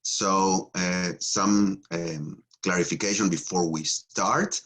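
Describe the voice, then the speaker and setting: A rabbi with an Argentine Spanish accent teaches in a low voice. He jokes a little and talks in a halting way, full of fillers and restarts. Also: steady tone, fairly bright tone. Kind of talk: Torah teaching talk.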